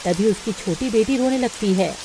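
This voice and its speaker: A woman narrating a cartoon storybook in a steady, plain way. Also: medium pitch, moderate pace, a little hiss or hum, poor audio.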